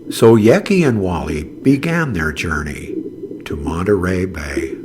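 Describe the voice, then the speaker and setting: A man reading a scripted children's story as narrator, in a dramatic, deliberate way. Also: deep voice, expressive, noisy background.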